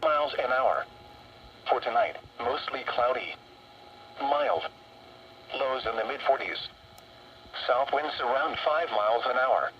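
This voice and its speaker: A man's voice: mid-range voice, very animated, a little slow, gravelly, long pauses, muffled recording. A synthetic man's voice reading an automated weather forecast in stilted, clipped phrases through a radio speaker. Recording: noisy weather radio speaker picked up in room.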